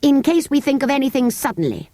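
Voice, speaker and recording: A woman's voice: high voice, big pitch swings, fast, warm tone, no room sound, voice pushed up. A woman voicing a pompous, bossy Edwardian schoolboy in a children's storybook, with an English Received Pronunciation accent and an oratorical manner. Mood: grand, bossy. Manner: oratorical, self-important. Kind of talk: children's storybook character reading.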